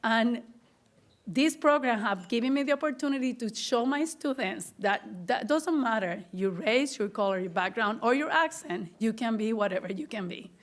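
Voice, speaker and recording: A woman's voice: on the high side, lively intonation, moderate pace, slight background noise. A middle-aged woman with a strong Puerto Rican accent, giving an earnest, grateful acceptance speech as an honored teacher, often restarting her phrases. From Puerto Rico.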